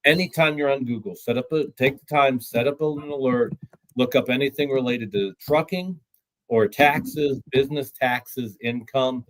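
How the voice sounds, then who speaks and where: Mid-pitched male guest, matter-of-fact and explanatory, occasional stammering restarts. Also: normal speed, low-quality recording. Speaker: trucking recruiting executive, guest. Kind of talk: podcast discussion.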